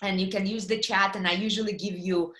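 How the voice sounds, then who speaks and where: A middle-aged woman from Kazakhstan, giving a lively webinar talk on a video call, with many fillers and questions to her audience. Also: mid-range voice, brisk, reverberant. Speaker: guest speaker. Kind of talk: webinar presentation. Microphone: computer mic on video call.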